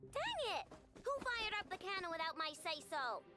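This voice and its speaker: A woman voicing a tiny, easily flustered fairy companion in a video game, with a squeaky, exclaiming manner. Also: sing-song, normal speed, music in the background. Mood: alarmed.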